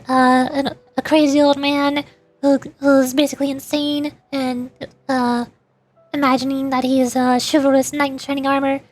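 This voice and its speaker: A young woman streamer chatting casually about games, rambling with many fillers. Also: sits high. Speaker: vtuber streamer. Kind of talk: casual game commentary. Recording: faint music behind.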